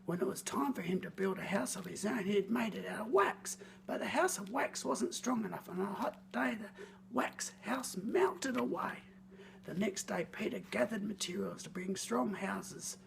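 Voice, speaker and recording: A woman reading a scripted children's story in a theatrical, expressive way, lingering on words. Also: medium pitch, normal speed, creaky, a little hiss or hum.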